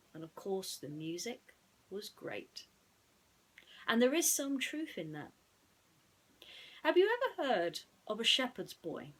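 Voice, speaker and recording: A woman storyteller narrating a bedtime story, gently explaining things as if to young listeners. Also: on the high side.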